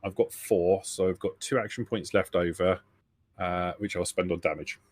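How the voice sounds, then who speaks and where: A man's voice: low voice, steady tone, moderate pace, husky. A man acting as game master in a tabletop roleplaying game, talking through the rules step by step in an easygoing way.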